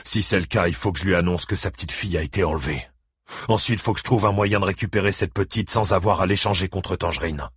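A man's voice: low-pitched, fast, a bit bright, husky, no room sound, clean studio sound, full character voice. A man voicing a furious, bitter, vengeful father who spits out harsh accusations in an audiobook novel. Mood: cold fury.

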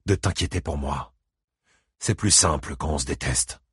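Man acting gruff, husky, battered ex-convict. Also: a bass voice, normal speed, a bit bright, no room sound, studio quality, voice pushed down, exaggerated voice. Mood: weary, softened. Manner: gruff, curt, muttering. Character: Buddy Lee, battered, wry, lonely ex-convict father.

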